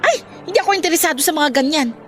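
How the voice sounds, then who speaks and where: A woman's voice: sits high, expressive, very fast, fairly bright tone, slight background noise. A woman with a Filipino accent playing a playful but firm middle-aged mother in a radio drama. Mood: exasperated.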